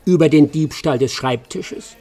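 An actor in a German radio play voicing a stern, self-assured English master detective, terse and dry, with a gravelly, husky voice. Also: male voice, fairly high pitch, a little slow, warm tone. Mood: dry, confident.

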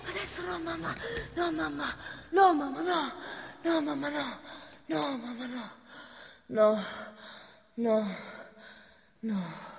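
A woman's voice: high-pitched, takes it slowly, creaky, pauses often, in a large hall, slight background noise, exaggerated voice. An actress playing a plain-spoken, desperate working-class woman with a Roman accent in a theatrical monologue. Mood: panicked, crying out. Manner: plain-spoken, ungrammatical, earthy. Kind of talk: theatrical monologue in colloquial dialect.

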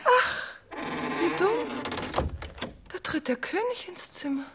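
An actress playing a coquettish, chattering queen in a German radio play, seductive and mock-innocent, slipping into breathy, intimate asides. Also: very high voice, animated, slow, very noisy, exaggerated voice. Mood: breathless, seductive.

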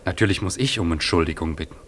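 An actor in a radio play playing a deferential, polite gentleman who speaks in a formal, understated manner. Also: low voice, expressive, fast talker, a little hiss or hum. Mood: courteous, reserved. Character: courteous, deferential gentleman, quietly polite and measured. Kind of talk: German radio play acting.

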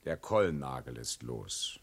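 An actor playing a gruff, husky coach hand in a radio play. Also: male voice, very deep voice, sing-song, takes it slowly, full character voice.

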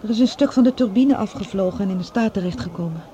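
A woman acting as a self-possessed, guarded woman in a radio drama. Her voice is soft-edged and dark, her manner cool but civil. There is faint noise behind her. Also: medium pitch, fast, dull audio, close to an everyday voice. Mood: dry, conciliatory. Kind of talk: Dutch radio play acting.

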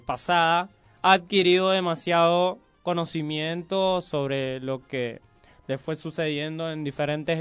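A man's voice: fairly high pitch, fairly bright tone, clean tone, dry recording. A young Puerto Rican man co-hosting a radio show, teasing and rambling between jokes before introducing a song. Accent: Puerto Rican Spanish. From Puerto Rico. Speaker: radio co-host. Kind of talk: joking radio banter and music introduction.

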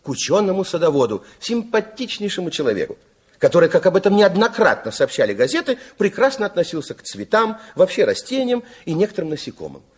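A man narrating a children's radio play in an expressive storybook style, with dramatic pauses. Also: high voice, slightly rough. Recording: old 1960s radio recording with music.

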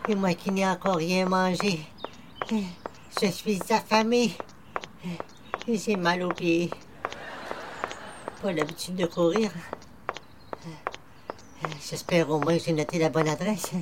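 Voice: mid-range voice, fairly even intonation, slow pace, long pauses, background noise, lightly acted. An actor playing a hapless, weary churchgoer who speaks in a formal, plaintive, theatrical way in a radio comedy. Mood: flustered, complaining. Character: hapless, weary, pious churchgoer with formal old-fashioned speech. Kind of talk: old-time radio comedy acting.

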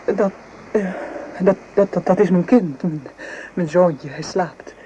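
An actress playing a lovesick, guilt-ridden young maidservant in a radio play, with halting, breathy, pleading delivery. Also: female voice, fairly low pitch, dark tone, muffled recording. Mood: distressed. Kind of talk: melodramatic Dutch radio play acting. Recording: noisy old radio drama recording.

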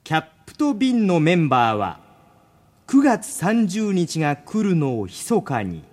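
A man co-hosting a radio show, reading out listener mail with polite phrasing and deliberate emphasis amid casual banter. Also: a little high, huge pitch swings, darkish tone.

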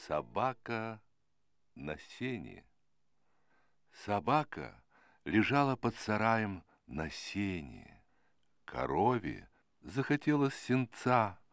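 A man narrating short fables as an expressive storyteller. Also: on the low side, takes it slowly, pauses often, no echo, studio quality.

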